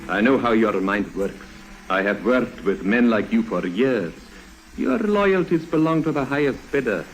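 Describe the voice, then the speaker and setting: Wealthy foreign agent, played by a man, precise and insistent, lively intonation, vintage radio thriller with clear hiss. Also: medium pitch, a little slow, lightly acted. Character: formal, scheming wealthy foreign baron and agent. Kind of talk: 1950s radio drama acting. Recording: noisy old 1950s radio recording.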